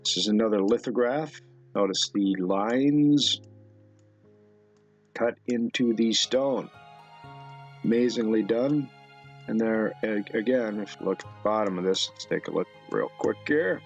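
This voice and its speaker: A middle-aged man rambles slowly through his thoughts, halting and musing as he comments on pictures. His voice has a gravelly creak, and faint music plays behind him. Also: lowish voice. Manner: musing, halting, talks to himself. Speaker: video host. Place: indoor room at a computer.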